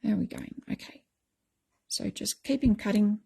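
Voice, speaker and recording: A middle-aged woman with a croaky voice, chatting casually as she explains a craft technique step by step. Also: mid-range voice, normal speed, mellow. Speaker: craft tutorial host.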